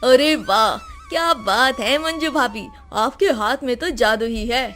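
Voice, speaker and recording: A woman's voice: fairly high pitch, a little slow, a bit bright, faint music behind. A woman voicing a humble, lonely bachelor with an effusive, plaintive way of talking, in a moral cartoon story. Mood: delighted, grateful. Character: Popatlal, lonely self-pitying bachelor, humble younger man.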